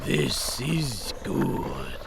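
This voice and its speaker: An actor playing an imperious, ancient vampire master in a radio play, speaking slowly and with command. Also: male voice, medium pitch, very animated, vocal fry, background noise, full character voice. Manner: slow, deliberate, commanding.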